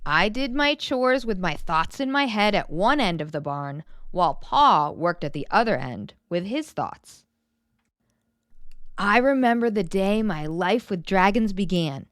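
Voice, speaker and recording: A woman reads a children's story aloud with a very lively, swooping delivery. Her pitch sits in the middle for a woman, and the recording is dry and close, with little room sound. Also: measured pace, no room sound. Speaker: children's book narrator. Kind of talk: animated read-aloud storytelling.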